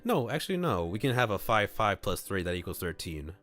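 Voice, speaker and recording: Deep voice guy livestreaming a visual novel read, narrating plus casual rambling asides, music in the back. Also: sing-song, fairly quick, a bit bright. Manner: thinks aloud in casual asides. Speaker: streamer reading a visual novel aloud. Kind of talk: casual livestream chat mixed with scripted narration. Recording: music playing behind the voice. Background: clear background music.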